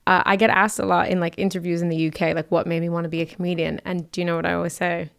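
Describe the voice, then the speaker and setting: Woman comic in her 30s, low gravelly voice, dry jokes, tells stories fast. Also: little pitch movement. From United States. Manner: wry, dry humor, quick storytelling. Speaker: comedian guest. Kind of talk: casual conversation.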